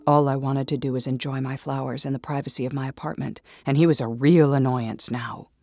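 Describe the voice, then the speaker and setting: Gravelly male narrator of intense drama. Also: mid-range voice, steady tone, fairly quick, a little mellow, no echo, clean studio sound. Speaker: story narrator. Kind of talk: dramatic first-person scripted narration. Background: music.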